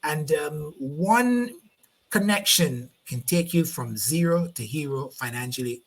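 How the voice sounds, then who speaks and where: Host: Barbadian man, slightly high voice, rambling video-call interviewer. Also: lively intonation, measured pace. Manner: rambling, repeats words while finding phrasing. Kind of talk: live online interview.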